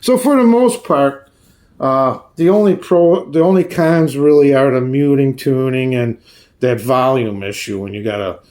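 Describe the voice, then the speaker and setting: A man giving a casual, hands-on review in a halting, folksy way, often restarting his phrases. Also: mid-range voice, animated, darkish tone. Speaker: radio reviewer. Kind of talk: casual hands-on product demonstration.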